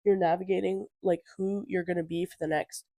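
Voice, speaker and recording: A woman's voice: on the low side, flat delivery, a little fast, warm tone, no echo. An eighteen-year-old woman with a stuffy nose from a cold, chatting casually as a podcast co-host and filling her talk with fillers. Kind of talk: casual chat.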